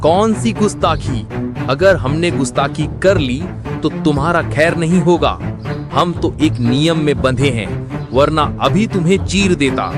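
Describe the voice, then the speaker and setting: A man as a highish menacing cartoon predator. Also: animated, a little slow. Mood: threatening. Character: menacing, hot-tempered predator of the jungle. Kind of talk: Hindi cartoon voice acting. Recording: background music behind the voice.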